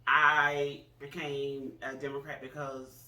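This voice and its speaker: A man's voice: medium pitch, little pitch movement, slow pace, bright, forceful tone, slight background noise. A man around forty, co-hosting a casual political chat, talking earnestly with frequent restarts. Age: 40s. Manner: earnest, hesitant with many restarts. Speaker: co-host. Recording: echoey room, voice from far off.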